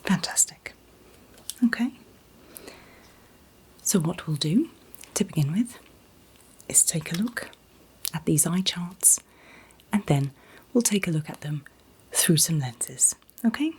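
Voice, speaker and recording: A woman in a gentle, soothing ASMR roleplay as an optician, whispering breathily and reassuringly without hurry. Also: fairly low pitch, animated, takes it slowly, long pauses. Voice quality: breathy, mostly unvoiced whisper. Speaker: ASMR performer playing an optician.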